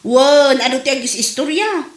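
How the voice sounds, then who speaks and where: A woman's voice: sits high, lively intonation, moderate pace. A woman with an Ilocano accent playing a gossipy, mocking character in a comedy radio drama. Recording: echoey, music behind.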